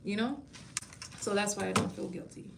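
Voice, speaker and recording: A woman's voice: mid-range voice, fairly even intonation, normal speed, gravelly, noisy background. Chatty woman in her thirties livestreaming. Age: 30s. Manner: chatty, laughs often, calls viewers baby. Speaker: beauty school staff and livestream host. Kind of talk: casual livestream chat.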